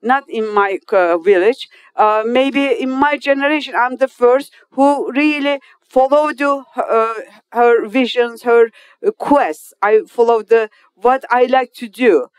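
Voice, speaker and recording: A Turkish woman in her fifties with a Turkish accent, a non-native English speaker giving a formal speech to an audience. She speaks haltingly, with many hesitations, restarts and loose grammar. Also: a little high, smooth, no room sound. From Turkey. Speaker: guest speaker at an inauguration.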